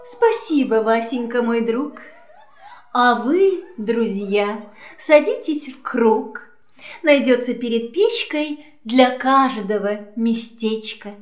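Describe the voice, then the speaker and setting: Genteel feline hostess, high, slow, cooing, roomy storybook sound. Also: female voice, animated. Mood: gracious. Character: elegant, gracious female cat, lady of the house. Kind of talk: children's audio play acting.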